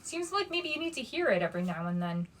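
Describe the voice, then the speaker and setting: A tough teenage girl with a kind heart from a fantasy storybook, read by a woman. She speaks softly and wryly, keeping everything understated. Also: on the high side, big pitch swings, fast talker. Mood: soft, reassuring. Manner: understated, softly spoken, shrugging. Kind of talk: storybook character reading.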